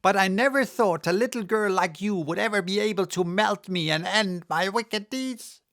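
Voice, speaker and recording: A man voicing a wicked old witch woman with a shrill, wailing screech. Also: moderate pace, fairly bright tone.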